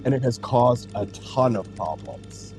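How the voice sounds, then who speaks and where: A man's voice: mid-range voice, lively intonation, unhurried, darkish tone, close to an everyday voice. Guy acting a veteran alien data center tech, patient n thoughtful, mid-range voice, audio drama, bit of music behind. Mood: explaining.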